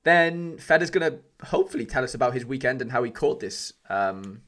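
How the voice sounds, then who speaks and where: A man's voice: mid-range voice, animated, a little fast, a bit bright. A man hosting a casual podcast, upbeat and teasing his co-host.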